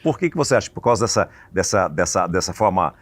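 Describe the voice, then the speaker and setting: A man's voice: low voice, lively intonation, no room sound. A man hosting an interview, asking long questions and affirming the guest. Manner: fast, talkative, affirming. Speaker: host.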